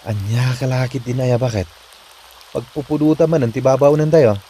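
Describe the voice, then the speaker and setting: Casting: actor as reasonable, teasing male spouse, Filipino accent, average pitch, chiding comedy-drama delivery. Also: moderate pace, dark tone, breathy edge, natural voice. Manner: chiding, needling. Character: teasing, reasonable husband of a bossy woman.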